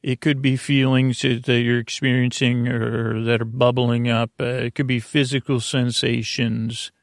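A man with a gravelly, creaky voice hosting a bedtime sleep podcast in a meandering, halting monologue full of asides. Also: mid-range voice, flat delivery, no echo, studio quality. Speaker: podcast host.

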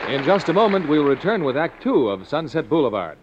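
A man's voice: on the high side, sing-song, fairly quick, a loud crowd. A man announcing as a host in 1950s network radio style. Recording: muffled, noisy old broadcast, audience behind.

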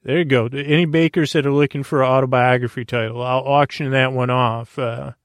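A man hosting a bedtime podcast, rambling in a halting, meandering way with a creaky, mumbly voice and self-deprecating asides. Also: medium pitch, steady tone, no echo, studio quality. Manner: meandering, halting, stumbling over words. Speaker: podcast host and storyteller. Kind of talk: rambling bedtime monologue to help listeners sleep.

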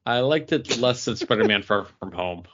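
A man's voice: lowish voice, animated, moderate pace, a bit bright, muffled recording, slight background noise. A man co-hosting a podcast, thinking aloud in casual talk about films. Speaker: podcast co-host. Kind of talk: casual film discussion.

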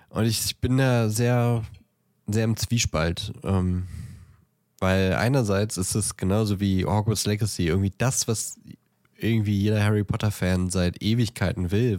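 A man co-hosting a podcast, talking casually and thinking aloud in a halting, deliberative way. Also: deep voice, darkish tone, dry recording, clean studio sound. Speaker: podcast co-host.

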